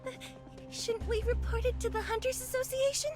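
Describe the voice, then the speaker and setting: A woman's voice: very high-pitched, fairly even intonation, a little fast. An actress playing a timid, sweet young girl in a video game, speaking in a hesitant, meek way. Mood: nervous, hesitant. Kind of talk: scripted dramatic game acting. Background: music.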